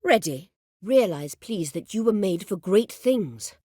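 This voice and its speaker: An actress playing an imperious, scheming middle-aged sorceress in a video game, speaking formally and with measured, biting sarcasm. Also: female voice, mid-range voice, animated, mellow, slightly rough, dry recording, clean studio sound. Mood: measured, persuasive. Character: imperious, scheming sorceress, proud, sardonic and vengeful. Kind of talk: video game character dialogue.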